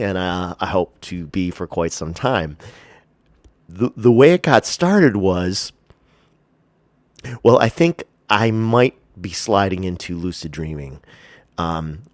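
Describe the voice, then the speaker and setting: Very deep, stuffy-nosed man vlogging. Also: no room sound. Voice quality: stuffy, congested nose. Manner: rambling, hesitant, self-deprecating. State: congested, sniffling. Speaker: vlog host. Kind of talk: casual personal chat to camera. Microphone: close to the face.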